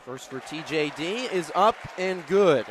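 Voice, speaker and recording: A man's voice: a little high, lively intonation, unhurried. An American man calling live basketball on the radio as play-by-play announcer, in clipped, descriptive phrases. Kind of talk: live radio sports commentary. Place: courtside in a large indoor arena. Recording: crowd and faint arena noise. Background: basketball crowd, bouncing ball.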